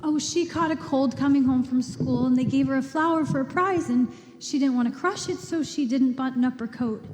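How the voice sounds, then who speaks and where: A woman's voice: high voice, brisk, close to an everyday voice. A woman playing a patient, caring wife and mother in a radio play, soothing and encouraging. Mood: concerned. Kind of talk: live radio play acting. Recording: echoey hall, loud music behind.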